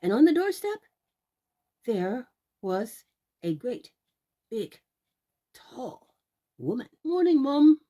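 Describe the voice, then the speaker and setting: A woman telling a children's fairy tale aloud, expressive and deliberate, drawing out words for young listeners. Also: on the high side. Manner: deliberate, drawn-out emphasis, addressing young listeners. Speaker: children's storyteller.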